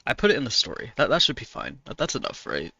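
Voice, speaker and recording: A man chatting casually while he draws on a stream, his voice hoarse from talking a lot. He rambles, corrects himself and laughs often. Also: medium pitch, very animated, fast, sharp tone, a little hiss or hum. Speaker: artist streaming while drawing. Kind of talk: casual chat answering viewers.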